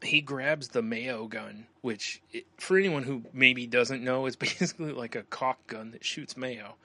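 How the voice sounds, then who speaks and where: A man's voice: medium pitch, measured pace, bright, forceful tone. A man co-hosting a podcast, telling casual comedic anecdotes in a joking way, often chuckling. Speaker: podcast co-host.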